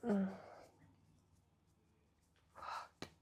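A woman whispering an intimate roleplay monologue close to the mic, breathless and pleading, with soft moans between words. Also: extremely slow, crowd noise. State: aroused and breathless. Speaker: audio roleplay performer.

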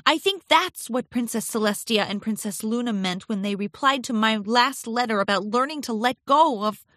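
Anxious young pony princess played by a woman, storybook read. High, animated, gushing and apologetic, with nervous hesitations. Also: moderate pace, no room sound, clean studio sound. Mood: anxious rambling. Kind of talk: children's audiobook character voice.

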